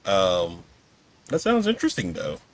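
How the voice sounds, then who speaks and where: A man's voice: deep voice, wildly swooping pitch, unhurried, crowd noise. A man hosting a podcast, asking questions in a casual, conversational interview style.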